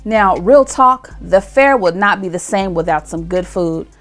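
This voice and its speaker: A woman's voice: on the low side, lively intonation, a little slow, over loud music. A woman hosting a show, narrating a voice-over in an upbeat, conversational way. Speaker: show host.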